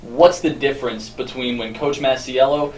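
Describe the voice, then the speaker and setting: A man's voice: mid-range voice, steady tone, normal speed, darkish tone, distant mic, slight background noise. Man interviewing for a team show. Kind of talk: interview questions.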